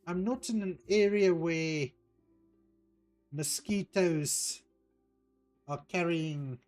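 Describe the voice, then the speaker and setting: A man from South Africa with an Afrikaans accent, a native Afrikaans speaker, chatting casually as a streamer, unhurried and hesitant with many ums. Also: on the high side, a little mellow, soft background music. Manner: unhurried, hesitant, with frequent ums while reading out chat. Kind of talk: casual chat while playing a game.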